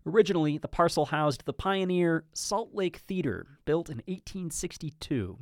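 Male host, a bit higher voice, dry close mic, measured script read. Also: animated, no echo. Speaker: host, podcast narrator. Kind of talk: scripted narration.

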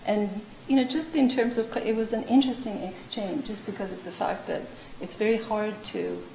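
A woman's voice: mid-range voice, sing-song, normal speed, dark tone. A woman professor on a discussion panel, telling chatty anecdotes with many fillers. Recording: echoey room with faint noise.

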